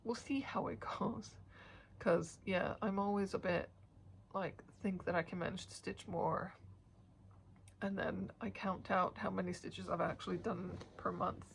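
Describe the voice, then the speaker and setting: A woman's voice: mid-range voice, steady tone, a little slow, creaky, a little hiss or hum, rough recording. A woman vlogging about her stitching in a rambling casual chat, sniffly and stuffed up, with many hesitant fillers.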